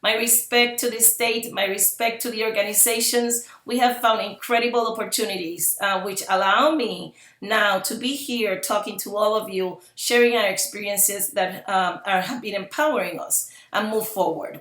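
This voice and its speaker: A woman's voice: medium pitch, fairly even intonation, normal speed, hardly any pauses, fairly bright tone. A woman, giving an earnest public presentation as a parent advocate and repeating phrases for emphasis. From Puerto Rico. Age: 50s. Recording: roomy echo.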